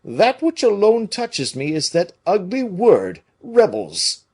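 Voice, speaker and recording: A man reading an audiobook, voicing a big, blustering, naive but good-hearted nobleman with loud, emphatic exclamations. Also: sits high, wildly swooping pitch, slow pace, slightly rough, pitched above the performer's own voice, heavily acted. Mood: dismayed, blustering.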